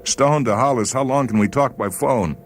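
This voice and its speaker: A man's voice: mid-range voice, normal speed, slight background noise, pitched above the performer's own voice, lightly acted. A man voicing a doomed spaceman who talks in a resigned, matter-of-fact, clipped way.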